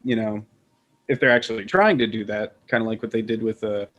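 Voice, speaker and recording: Male panelist, fairly deep, casual and loosely phrased group conversation. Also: fairly quick, fairly bright tone, highs cut off. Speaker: podcast panelist.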